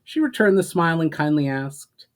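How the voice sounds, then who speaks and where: A man's voice: fairly high pitch, animated, moderate pace. A man speaking as an author who presents and reads his own fantasy novel aloud in a storytelling style. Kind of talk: dramatic reading of a fantasy novel, with informal introduction.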